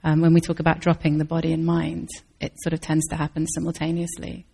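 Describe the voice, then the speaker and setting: Woman Zen teacher, low flat voice with husky fry, thoughtful and searching answers. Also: fast talker. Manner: thoughtful, searching, with restarts and soft laughs. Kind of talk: dharma talk Q&A answers.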